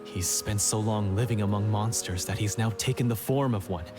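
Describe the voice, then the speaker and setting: An earnest repentant young hero, played by a man, speaking in a lowish, sincere and formal voice. His delivery is grave and measured. Game music plays behind him. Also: close to an everyday voice. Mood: serious.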